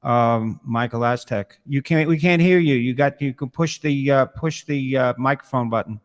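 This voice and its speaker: A man's voice: mid-range voice, normal speed. A man, a musician guest, chatting casually over a video call. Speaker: guest, musician with a weekly show. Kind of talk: casual chat. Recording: reverberant room sound.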